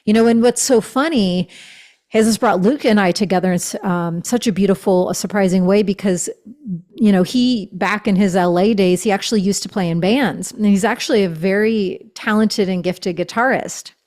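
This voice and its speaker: A middle-aged woman talks as a podcast guest, speaking as a shaman and author. Her speech is gushing and spiritual, studded with "you know" and soft fillers. She keeps an ordinary, mid-height pitch. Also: moderate pace. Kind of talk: casual interview chat.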